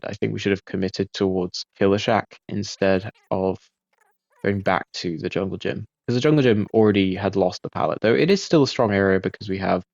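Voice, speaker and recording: Low gravelly man, laid-back game commentary. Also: darkish tone, no room sound, lo-fi sound. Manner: laid-back, self-critical, frequent fillers. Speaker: gaming video creator. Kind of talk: relaxed post-game commentary.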